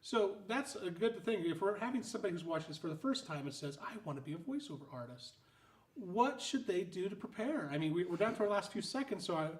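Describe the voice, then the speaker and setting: An interview host asking questions in casual chat, animated and joking, often restarting sentences. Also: mid-range voice, quick pace, roomy sound. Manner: animated, jokey, stumbling restarts.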